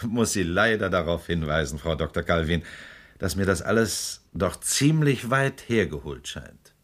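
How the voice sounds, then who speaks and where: An actor playing a composed male politician who answers in a calm, guarded and polite way in a radio drama. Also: deep voice, measured pace, slight background noise. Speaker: politician Byerley.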